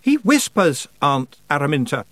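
Guy doing a calm stubborn posh kid for an audiobook, raised pitch, dry close sound. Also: normal speed, no room sound. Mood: calm, defiant. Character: calm, stubborn boy heir locked in a tower. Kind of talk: audiobook character voice.

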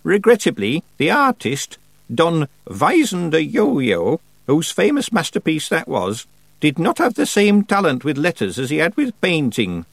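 A man voicing a stern, solemn, very old woman witch who speaks slowly and severely, lecturing. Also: fairly high pitch, wildly swooping pitch, gravelly, no echo, pitched above the performer's own voice, full character voice. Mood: dry, tart.

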